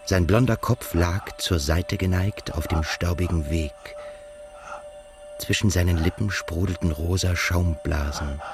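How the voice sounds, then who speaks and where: A male actor playing a melancholy, haunted émigré narrator in a literary radio drama, speaking in a measured, reflective way. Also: sits low, vocal fry, full character voice.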